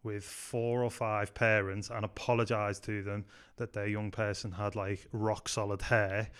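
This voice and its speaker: A man's voice: fairly low pitch, measured pace, clean tone. A man with a Scouse accent from Liverpool, a youth pastor telling stories in a casual podcast chat with many ums. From Liverpool, England. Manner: chatty, frequent "um", animated anecdotes. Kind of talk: casual podcast interview storytelling.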